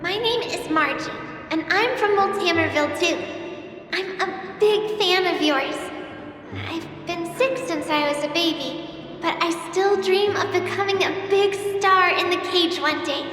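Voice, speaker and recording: An earnest, starry-eyed little girl speaks in a very high, unhurried voice drenched in reverb. She sounds bashful and hopeful, like a game character reading out a heartfelt letter, with music clearly behind her. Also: a bit bright. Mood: shy, excited. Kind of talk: video game voice acting, reading a letter aloud.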